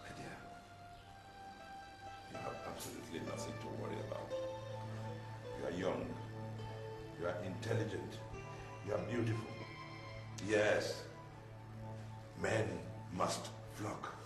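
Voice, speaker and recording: A man's voice: medium pitch, lively intonation, a little slow, long pauses, close to an everyday voice. An actor playing an overprotective, domineering middle-aged father in a screen drama, with a pompous, theatrical manner. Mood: doting, reassuring. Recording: roomy echo, music underneath.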